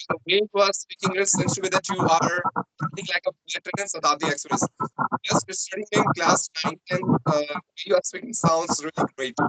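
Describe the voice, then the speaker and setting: A man's voice: on the high side, poor audio. A man with an Indian English accent, coaching English in an earnest, explanatory way on a video call. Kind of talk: online conversation practice.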